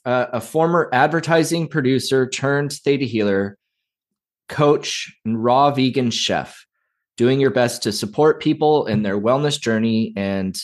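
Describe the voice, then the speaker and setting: A middle-aged man hosting a podcast, talking in a gentle, unhurried, friendly way. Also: medium pitch. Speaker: podcast host. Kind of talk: interview hosting.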